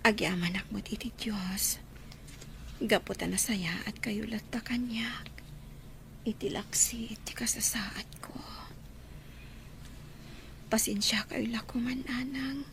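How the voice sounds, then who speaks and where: A woman with an Ilocano accent playing a frail, despairing young wife in a radio drama, her voice weak and breathy. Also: fairly high pitch, fairly even intonation, takes it slowly, long pauses, a bit bright, a little hiss or hum, heavily acted. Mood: weak, grateful, apologetic.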